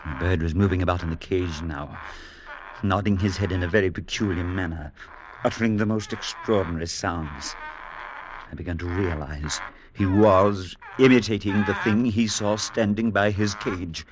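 Actor as a grave, low-voiced troubled priest. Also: male voice, steady tone, a crowd in the background, lightly acted, low-quality recording. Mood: hushed dread. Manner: measured, grave, theatrical. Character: earnest, troubled priest telling his eerie story. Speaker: narrator and character. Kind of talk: 1940s-50s radio drama narration and acting. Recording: vintage radio broadcast with music.

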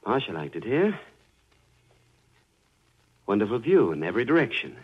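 A man's voice: on the low side, sing-song, moderate pace, husky, exaggerated voice. A coldly vengeful man. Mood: sinister. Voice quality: quiet, sinister, controlled. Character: cold, vengeful man, calm menace. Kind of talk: 1940s radio drama acting. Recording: dull old radio broadcast with faint hiss.

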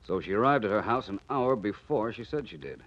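An American man playing a suave, inquisitive gentleman detective in a 1940s radio drama, urbane and coolly probing. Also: lowish voice, animated, a little fast. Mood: musing. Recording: muffled 1940s radio recording.

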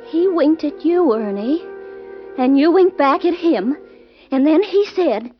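High-voiced, frightened radio wife Helen. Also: female voice, expressive, measured pace. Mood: anxious recounting. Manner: earnest, plaintive, emphatic repetition. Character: Helen, terrified wife recounting a nightmare. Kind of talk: old-time radio drama acting. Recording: dull old radio recording, faint music.

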